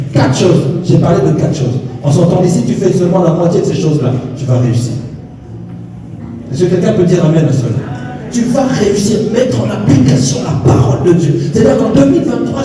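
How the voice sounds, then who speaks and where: A man whose first language is Swahili preaches with emphatic repetition, his voice dark and mellow. He sounds distant from the mic, with long reverb filling a church hall. Faint music plays behind him. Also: sits high, slight background noise. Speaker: pastor. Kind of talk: sermon.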